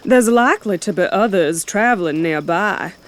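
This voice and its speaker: A woman playing a haughty elven knight commander in a fantasy audio drama, speaking in a measured, formal, imperious way. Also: mid-range voice, animated, lightly acted. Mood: cool, commanding.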